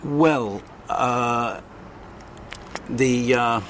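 An older man, a longtime journalist, answering interview questions hesitantly, with many pauses and restarts and a wry edge. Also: mid-range voice, a bit bright, slight background noise. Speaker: journalist guest.

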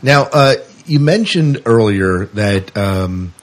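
A man's voice: lowish voice, lively intonation, slow pace. A man hosting a podcast, chatting casually and putting questions to a guest. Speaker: podcast host, interviewer. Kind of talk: casual interview chat.

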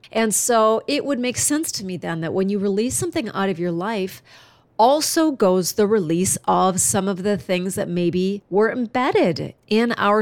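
A woman's voice: mid-range voice, dry recording. Congested lady doing her podcast solo, earnest and chatty, super dry mic. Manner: earnest, chatty, warm-hearted encouragement. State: congested, stuffy nose. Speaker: podcast host.

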